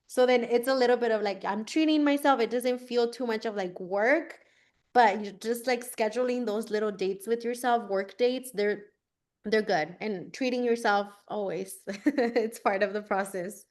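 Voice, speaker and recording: A woman, a former classroom teacher, giving relaxed, chatty advice and often saying "like" in an online session. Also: on the high side, normal speed. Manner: relaxed, chatty, many "like" fillers. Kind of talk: casual webinar advice.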